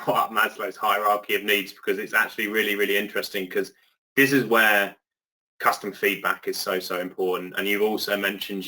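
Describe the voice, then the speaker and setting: A man's voice: lowish voice, bright, forceful tone, low-quality recording. An English man speaking as a guest on a webinar, chatty and loose, with many fillers and restarts, on a video call. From England. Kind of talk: conversational webinar discussion.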